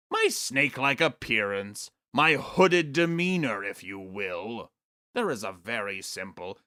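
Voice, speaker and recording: A sinister aristocratic snake-man in an audio comedy monologue. The voice is forceful and bright, swinging widely in pitch around a middle register. The delivery is grandiose, haughty and melodramatic, savouring its own villainy. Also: full character voice. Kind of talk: theatrical scripted comedic monologue.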